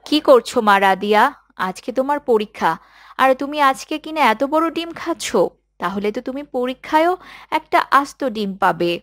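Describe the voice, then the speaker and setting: A woman's voice: high voice, lively intonation, normal speed, dry recording, studio quality. A woman voicing a caring, devout mother in a cartoon, speaking affectionately and expressively to her young daughter. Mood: chiding. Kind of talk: scripted cartoon dialogue.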